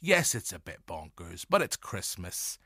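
A man with a Northern Irish accent hosting a podcast, introducing it in playful, deliberate asides to the listener. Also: low voice, sing-song, bright, forceful tone, dry recording, clean studio sound. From Northern Ireland. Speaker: podcast host. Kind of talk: scripted-sounding podcast introduction.

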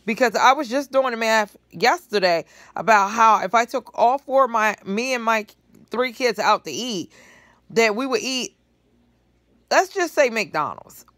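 A woman's voice: a little high, expressive, measured pace, fairly bright tone, no room sound. Woman talking close into her phone. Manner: conversational, works through sums aloud. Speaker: vlogger commenting on news clips. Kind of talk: casual commentary to camera. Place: living room couch indoors. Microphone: phone held close at low angle.

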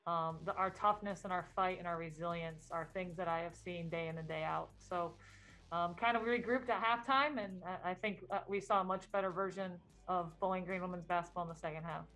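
A woman's voice: lowish voice, steady tone, a little fast, fairly bright tone, poor audio. A woman head coach answering questions at a post-game press conference, speaking thoughtfully with frequent ums and restarts.

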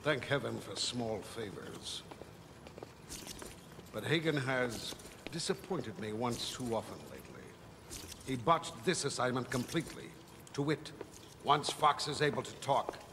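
An actor playing a ruthless, imperious businessman villain in an animated series, with pompous, formal diction. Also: medium pitch, a little slow. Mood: cold, displeased. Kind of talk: dramatic animated series acting. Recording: clearly noisy, hissy background.

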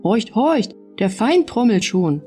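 A woman's voice: on the high side, sing-song, slow, warm tone, faint music behind, voice pushed up. A woman voicing a cowardly male leader in a storybook, crying out in fright. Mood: panicked. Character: cowardly Swabian leader, a man, panicking and wailing.

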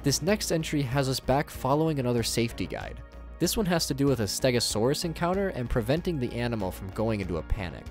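A man's voice: medium pitch, lively intonation, fast, a little mellow. Wry male commentator over music. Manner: wry, jokey asides. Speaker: YouTube horror series commentator. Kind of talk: scripted narration, commentary. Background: music bed.

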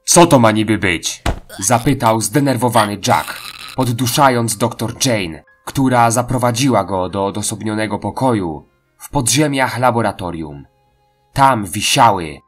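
A man's voice: on the low side, slightly rough. A man reading scripted horror narration in a dramatic storytelling style. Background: faint music.

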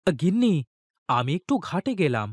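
A man voicing a humble, excitable village boatman in a fairy-tale cartoon, earnest and expressive. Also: fairly high pitch, normal speed, no echo, clean studio sound. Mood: excited.

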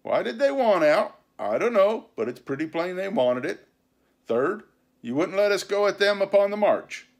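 A male narrator puts on a lanky mutinous pirate from a storybook. He is blunt and accusing, pressing his case in rough sailor slang, with a high, very lively pitch. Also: moderate pace. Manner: blunt, insistent nautical slang.

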